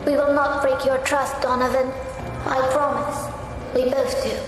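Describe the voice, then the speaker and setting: A ghostly young sister in a dark fantasy game. Her voice is high, earnest and formal, floating in long, heavy reverb. Music sits behind her gentle words. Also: little pitch movement, measured pace. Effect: long ethereal reverb.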